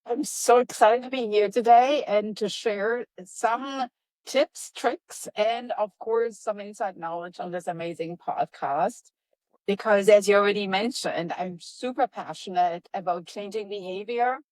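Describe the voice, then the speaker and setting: A woman's voice: a little slow, dry recording, low-quality recording. A woman with a European accent speaks as a podcast guest, full of energy and emphasis. Her voice sits in a middle register. The sound is dry and close. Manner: energetic, upbeat, emphatic with lively pitch jumps. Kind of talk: enthusiastic interview answers.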